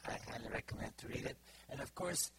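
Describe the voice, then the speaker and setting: A middle-aged man from Bulgaria with a Bulgarian accent, giving an informal sermon to a congregation, pausing often with fillers and restarts. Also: mid-range voice, background noise. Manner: halting, many fillers and restarts. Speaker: preacher.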